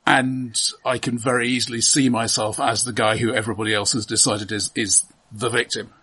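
Guy chatting films, husky but bright voice, stammers over repeated words, thinking out loud. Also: mid-range voice, little pitch movement. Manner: halting, repeating words, thoughtful. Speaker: film podcast co-host. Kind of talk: casual film discussion.

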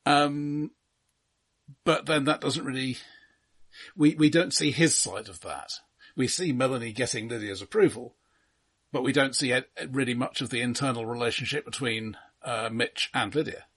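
A man co-hosting a film podcast, talking casually in a measured, analytical way. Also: mid-range voice, fairly bright tone.

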